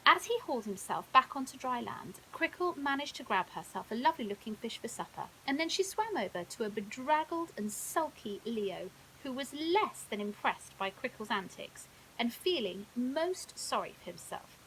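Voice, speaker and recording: A woman with a high, very lively voice reads a children's bedtime story. Her delivery is dramatic and expressive, with some hiss on the recording. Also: moderate pace, low-quality recording. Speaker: storyteller, primary school teacher. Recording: audible hiss.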